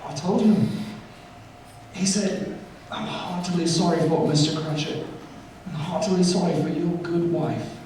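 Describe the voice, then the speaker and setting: A man plays a humble father in a live radio drama, voice a little high. He speaks with halting, earnest tenderness through his grief. It is picked up from a distance with heavy echo and faint noise. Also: dark tone, natural voice. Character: gentle grieving poor father, tender and humble. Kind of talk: live stage radio play. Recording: very echoey stage, distant mic, faint noise.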